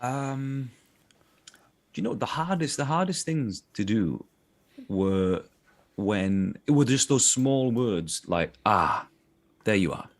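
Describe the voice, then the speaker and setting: A man's voice: medium pitch, lively intonation, slow pace, long pauses. A man with a South Welsh accent, a voice actor chatting as an interview guest, full of restarts and "do you know what I mean". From South Wales. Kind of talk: casual interview chat.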